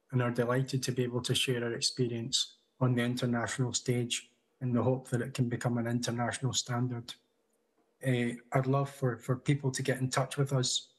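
A man in his thirties giving a prepared conference talk over a video call from a room at home, measured, with small restarts. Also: mid-range voice, breathy edge, poor audio. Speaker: conference speaker. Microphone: video call webcam.

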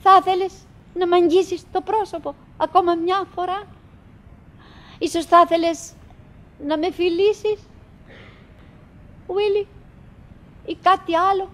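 An actress playing a tender, wistful, chattering wife who coaxes her silent husband in declamatory stage style. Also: very high voice. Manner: declamatory, coaxing, with dramatic swings. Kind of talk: theatrical stage acting. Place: theatre stage, live recording.

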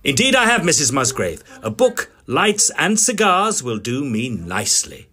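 An actor playing a polite, fussy Edwardian gentleman ghost hunter in an audio drama, with an English accent and a formal, slightly hesitant manner. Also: fairly high pitch, very animated, moderate pace, husky, heavily acted. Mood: reassuring. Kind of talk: audio drama acting and first-person narration.

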